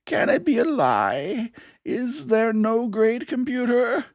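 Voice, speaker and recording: A man voicing a fanatical bearded old preacher who screams his accusations in a shrill voice. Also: huge pitch swings, slow, vocal fry, darkish tone, slightly rough, dry recording, studio quality, heavily acted. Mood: frantic.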